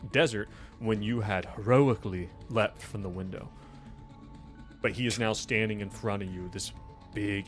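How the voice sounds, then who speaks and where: A man narrating a tabletop role-playing game as game master, describing things informally and addressing the player directly. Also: fairly low pitch. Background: music.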